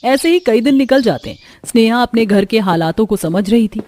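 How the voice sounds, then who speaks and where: A woman's voice: on the high side, animated, brisk, darkish tone, a little hiss or hum. A woman narrating a scripted cartoon story as its storyteller.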